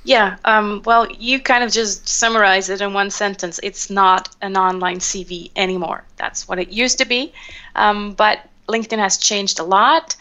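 A Swiss woman, not a native English speaker, answering as a guest on a podcast interview, thoughtful, with pauses and fillers. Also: medium pitch, steady tone, sharp tone. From Switzerland. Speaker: guest, social media trainer.

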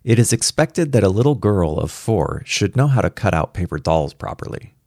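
A man's voice: lowish voice, big pitch swings, normal speed, a little mellow, no room sound, studio quality. A man reading the part of an anxious, protective father in a story, speaking in an earnest, cautioning way. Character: anxious, protective father of a gifted little girl.

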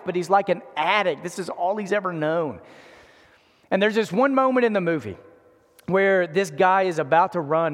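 A man in his forties preaching in a conversational, emphatic style, repeating phrases for weight. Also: sits high. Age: 40s. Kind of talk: conversational sermon. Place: large indoor room before an in-person audience. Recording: echoey hall with long reverb.

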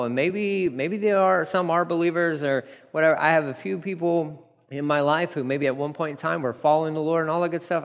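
Pastor, male, fairly high voice, animated sermon delivery, slight hall reverb. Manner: animated, acts out dialogue with laughs. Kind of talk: verse-by-verse sermon.

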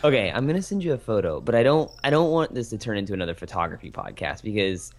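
A man's voice: very animated, quick pace. A young man co-hosting a podcast in casual, joking chat, keeping his voice down at night. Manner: joking, keeps voice low for sleepers at home. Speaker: podcast co-host.

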